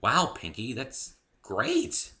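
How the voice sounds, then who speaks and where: A man acts out a polite, geeky young man in a story reading. He sounds earnest and unsure, with a very bright voice and lively pitch movement. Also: extremely slow, exaggerated voice. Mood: sincere.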